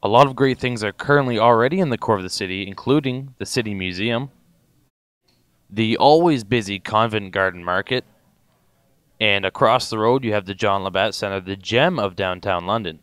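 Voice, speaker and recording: Smooth, dry-sounding male narrator. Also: fairly low pitch, a bit bright, no room sound. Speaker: reporter narrating a short documentary. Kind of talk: scripted narration.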